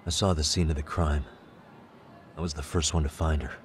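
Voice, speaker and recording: Very deep-voiced, steady detective. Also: male voice, a little hiss or hum. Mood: firm, serious. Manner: measured, matter-of-fact, casual. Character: calm, steady male detective, frank and protective. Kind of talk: dramatic game cutscene dub acting.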